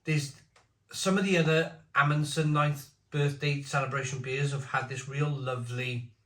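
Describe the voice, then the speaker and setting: A man's voice: on the high side, fairly even intonation, moderate pace, a bit bright. Roomy-sounding man in his forties. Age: 40s. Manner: blunt, opinionated, rambling asides. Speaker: craft beer reviewer. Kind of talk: casual beer review monologue. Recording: roomy echo.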